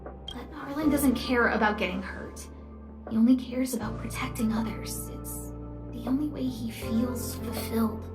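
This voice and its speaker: A high, girlish young researcher for a game , voiced by a woman, wealthy and caring. She talks expressively and conversationally, with some echo and music behind her. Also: moderate pace, close to an everyday voice. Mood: worried, exasperated. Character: young female lead researcher, wealthy, cheerful, caring stargazer. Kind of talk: video game character dialogue.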